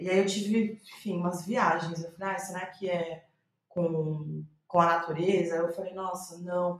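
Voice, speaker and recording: A Brazilian woman giving reflective interview answers as a playwright, thinking aloud and searching for her words. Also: lowish voice. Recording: roomy, echoing sound.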